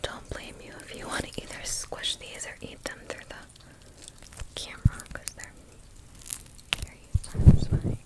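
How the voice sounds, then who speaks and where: A woman speaks entirely in a soft, breathy whisper. She performs an ASMR roleplay as a shopkeeper, addressing the listener gently and without hurry. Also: unhurried, long pauses. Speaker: ASMR host playing a candy shop keeper.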